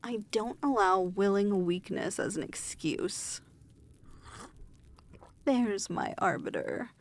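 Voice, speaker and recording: A woman playing a haughty, weary demon lord in an ASMR fantasy roleplay, speaking in a formal, measured, imperious manner. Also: medium pitch, animated, gravelly. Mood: cold, weary, then imperious, curious.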